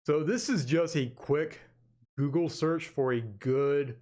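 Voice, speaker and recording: A man's voice: fairly high pitch, slow pace, a little mellow. Man giving a casual channel update. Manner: informal, addresses viewers as "guys" repeatedly. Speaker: animation channel host. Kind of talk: casual webcam update to viewers. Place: indoor home office. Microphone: desktop USB condenser microphone.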